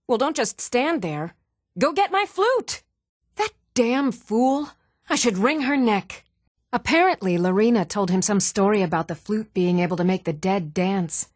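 A spirited game bard, dry studio. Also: female voice, a little high, animated, a little slow, no room sound. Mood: exasperated, then indignant. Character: spirited female bard, blunt, easily exasperated. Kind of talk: scripted video game dialogue.

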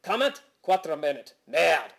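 A man's voice: on the high side, sing-song, takes it slowly, vocal fry, noisy background, voice pushed up, heavily acted. A man acts out a brash French-Canadian landlord in a spoken story, with a caricatured Quebecois accent. He is paranoid and foul-mouthed, ranting in broken English and talking about himself in the third person. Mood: rousing, urgent. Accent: exaggerated fake Quebecois French.

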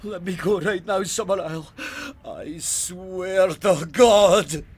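A man's voice: high voice, slow pace, darkish tone, husky, heavily acted. A man playing an outraged, stubborn, pious police sergeant in an audio drama, speaking formally and emphatically. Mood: defiant. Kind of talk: full-cast audio drama acting.

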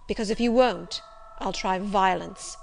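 A woman acts out an imperious, passionate gentleman from a classic novel. The delivery is forceful and domineering, pleading hard with a beloved, at a middling pitch for a woman, with music in the background. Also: measured pace. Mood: exasperated, threatening. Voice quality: hoarse.

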